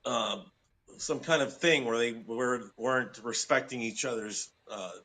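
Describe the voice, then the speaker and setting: A man's voice: medium pitch, a little slow, bright, forceful tone. A man in casual podcast chat, hesitant and searching for his words. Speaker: podcast co-host.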